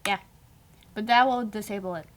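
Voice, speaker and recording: A woman's voice: mid-range voice, moderate pace, a little hiss or hum. A woman helping a colleague with code, giving brief casual instructions.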